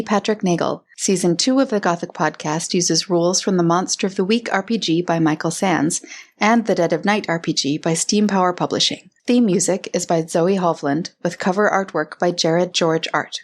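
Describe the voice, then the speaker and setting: A woman reading scripted podcast credits as an announcer. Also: on the low side.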